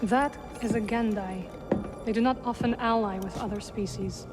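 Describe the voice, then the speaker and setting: A woman playing a solemn, loyal young warrior survivor in a video game, with deliberate, formal phrasing. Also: medium pitch, fairly even intonation, close to an everyday voice. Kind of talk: cinematic video game acting. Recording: reverberant hall, music underneath.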